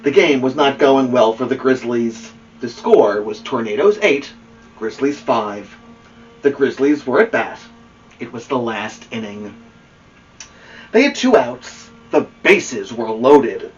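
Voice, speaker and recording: Guy reading a kids book out loud, super animated, kinda muffled and far from the mic. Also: medium pitch, faint music behind. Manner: expressive, pausing to ask a child listener questions. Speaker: story-time host reading a children's book aloud. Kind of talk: animated children's storybook reading. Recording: muffled, distant microphone.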